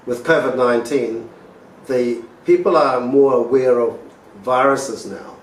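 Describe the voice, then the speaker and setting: Older man from Fiji, rotary organizer, casual chat, roomy echoey room, distant mic. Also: medium pitch. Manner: unhurried, hesitant with fillers. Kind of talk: casual interview answers. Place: living room indoors. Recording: roomy echo, distant mic, faint noise.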